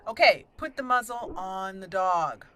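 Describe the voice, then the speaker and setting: A woman's voice: medium pitch, expressive, measured pace, bright, forceful tone. A woman hosting a casual roleplay game playthrough, chatty and giggly with playful exclamations. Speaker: let's play host. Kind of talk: casual gameplay commentary.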